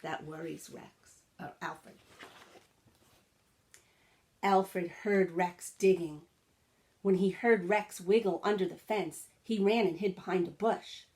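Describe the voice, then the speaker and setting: A woman reads a children's story aloud at an unhurried, slow pace. She is expressive and sympathetic, with simple asides for young listeners. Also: on the low side. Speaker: teacher reading a picture book aloud.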